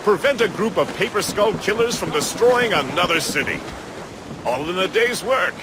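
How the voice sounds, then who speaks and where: A jovial gentleman first mate in a period video game. His voice is fairly high and swoops freely, florid and wry, over clear waves and ship noise. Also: expressive, normal speed. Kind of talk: theatrical period game cutscene acting.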